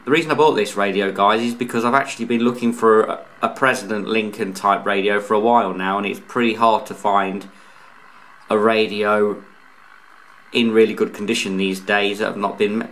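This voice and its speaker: A man's voice: on the low side, steady tone, normal speed, a bit bright. A man talking casually and informally through a hands-on product review, explaining as he goes with some hesitation. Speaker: radio hobbyist reviewer, channel host. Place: indoors, off camera beside a tabletop radio.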